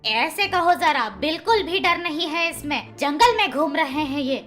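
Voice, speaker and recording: Woman as an old grandmother bird, high pitch, forceful bright tone, scolding, sarcastic, cartoon moral story. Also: lively intonation, moderate pace, soft background music. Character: nagging, sharp-tongued old grandmother bird. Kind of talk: children's animated moral story.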